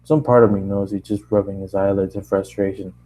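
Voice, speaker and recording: A man's voice: low voice, little pitch movement, fairly quick, warm tone, slight background noise. A man narrating first-person fan fiction in a conversational way, stumbling and re-reading lines as he goes. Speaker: amateur story narrator.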